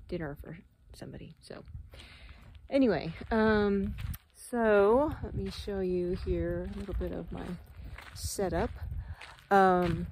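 A woman's voice: on the low side, a little mellow, a little hiss or hum. A woman in her forties, a wellness guide, chatting casually to her phone camera outdoors, rambling with many fillers and sometimes dropping to a whisper. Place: outdoors at a ranch beside a travel trailer. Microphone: phone held at arm's length.

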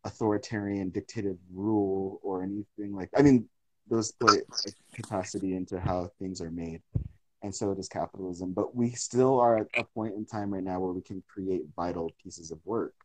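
Low, dry-voiced young man musing in a live chat. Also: fairly even intonation, moderate pace, dark tone, no room sound, poor audio. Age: young adult. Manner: thoughtful, rambling with many fillers and restarts. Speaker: artist in conversation. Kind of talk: casual live-stream chat. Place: indoors at home. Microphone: phone close to face on a video call.

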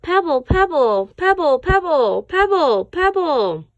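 Woman as high, loud, excited penguin relatives. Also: slow pace, no room sound, lo-fi sound, full character voice. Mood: excited calling.

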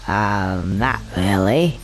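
A man's voice: lowish voice, lively intonation, extremely slow, faint music behind. A man voicing a naive, bemused young male squirrel in a video game, hesitant and polite with a touch of cheek. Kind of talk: video game cutscene dialogue.

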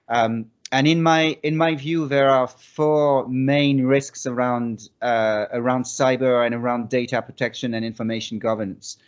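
French man presenting over a video call. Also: medium pitch. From France. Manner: hesitant, many restarts and fillers. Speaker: conference speaker. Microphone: video call laptop mic. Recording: dull lo-fi video call audio.

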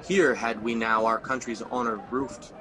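A man's voice: medium pitch, steady tone, a little slow, background noise, natural voice. A man playing a guilt-haunted king in a radio drama, speaking in a theatrical manner. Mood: horrified. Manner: theatrical, swings from host to horror. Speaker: actor.

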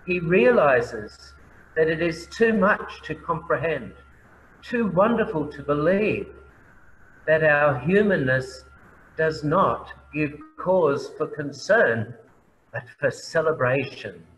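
An Australian man speaks as a priest, delivering his sermon in a gentle, reverent manner. His voice sits a little high and moves at an unhurried pace. The room is echoey, with a faint hum of background noise. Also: expressive.

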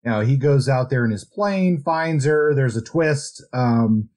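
A man co-hosting a podcast, talking through a casual book discussion in a conversational, measured way. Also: medium pitch, expressive, darkish tone. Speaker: podcast co-host.

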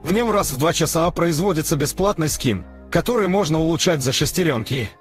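Male promo voice, slightly high, punchy scripted ad read over a faint music bed. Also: animated, fairly quick. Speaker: advertisement announcer.

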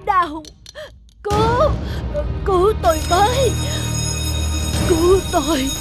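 A man's voice: very high voice, expressive, slow pace, music in the background, exaggerated voice. A man voicing a desperate, suffering patient in a cartoon, wailing and strained. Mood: agonized. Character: desperate male cancer patient in agony. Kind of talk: cartoon dub.

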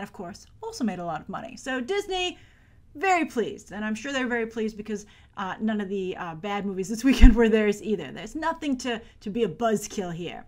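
An American woman in her thirties hosting chatty box office commentary to camera, with emphatic delivery and stressed, drawn-out words. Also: mid-range voice. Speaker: movie news show host.

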